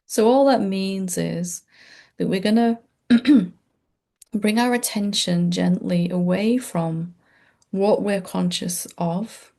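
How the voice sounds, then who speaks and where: A woman meditation teacher leading a gentle, unscripted guided meditation in a soothing, informal and reassuring way. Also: medium pitch, fairly even intonation, slow pace, creaky.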